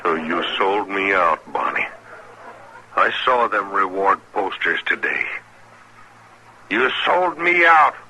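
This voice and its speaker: A man's voice: low-pitched, expressive, takes it slowly, long pauses, sharp tone, full character voice. A man playing a sullen gambler and killer of the Old West, menacing, in old-time radio western style. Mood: betrayed, threatening. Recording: noisy old radio broadcast recording.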